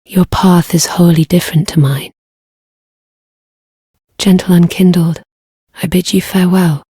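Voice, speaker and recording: A woman's voice: fairly low pitch, fairly even intonation, measured pace, warm tone, slightly rough, no room sound, clean studio sound. An actress playing a reserved, earnest young lady knight in a fantasy game, speaking with formal, courteous, old-fashioned phrasing. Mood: grave, formal. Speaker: video game voice actor.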